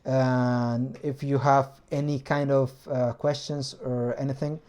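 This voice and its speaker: Slow, halting man with a Spanish accent. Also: medium pitch, level tone, a little mellow. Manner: hesitant, searching for words in a second language. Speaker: hobbyist maker explaining a 3D-printed engine. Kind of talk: casual explanatory vlog.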